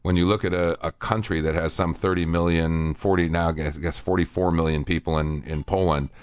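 A man's voice: sits low, fairly even intonation, a little fast, clear, resonant tone, no echo, highs cut off. A man hosting a radio talk show, chatting casually and reading quotes aloud with many fillers. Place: radio studio.

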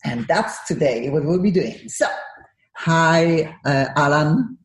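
A woman's voice: deep voice, takes it slowly, echoey room. A woman from Poland with a Polish accent hosting a radio interview, talking effusively with some broken English. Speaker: radio show host. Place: radio studio.

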